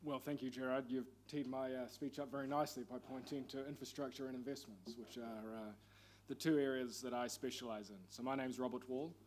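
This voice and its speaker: A middle-aged man with a New Zealand accent, speaking as a panelist at a conference in a measured, formal way. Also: mid-range voice, steady tone, a little mellow, a little hiss or hum. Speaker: panel speaker, investment executive. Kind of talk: conference presentation.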